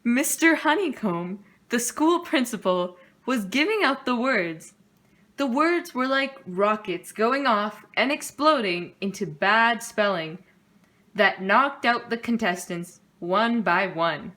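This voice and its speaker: A woman narrates a children's storybook aloud. Her voice sits fairly high, and she takes her time, delivering each phrase deliberately for young listeners. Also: expressive, fairly bright tone. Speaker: storybook reader.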